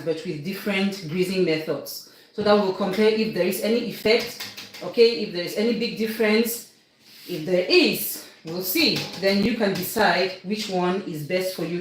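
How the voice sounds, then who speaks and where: Chatty Cameroonian woman in an echoey kitchen. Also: mid-range voice. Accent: Cameroonian English. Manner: chatty, instructive, keeps checking in with viewers. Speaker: cooking livestream host. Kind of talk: casual live baking demonstration. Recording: very echoey room, faint noise.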